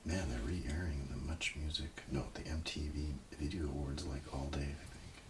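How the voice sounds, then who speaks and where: A soft-spoken man doing casual ASMR, muttering as if to himself and slipping into whispers, in a roleplay style. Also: steady tone, moderate pace. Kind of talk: ASMR, casual muttering while browsing TV listings. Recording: clear background noise, page rustling and clicks. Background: page flipping and highlighting sounds.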